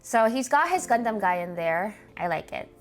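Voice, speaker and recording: A woman's voice: mid-range voice, big pitch swings, moderate pace. A woman with a Filipino accent, hosting and chatting casually as she looks over viewers' setups. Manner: chatty, upbeat, thinks aloud. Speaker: host reviewing viewer gaming setups. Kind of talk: casual commentary.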